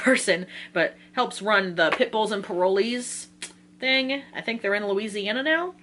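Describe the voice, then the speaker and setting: An American woman from Virginia talking casually in an excitable, rambling, slangy way, like a book vlogger. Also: medium pitch, a little hiss or hum. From Virginia, United States. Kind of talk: casual chatty video talk.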